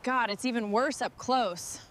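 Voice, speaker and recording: Actress as determined female mechanic, fairly high voice, terse orders, scripted workplace drama, loud machinery noise. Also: measured pace, lightly acted. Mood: focused, appraising. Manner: terse, focused, talking to herself and the machine. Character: determined hands-on female mechanic leading a heavy machinery restoration crew. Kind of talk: scripted workplace dialogue with muttering and commands.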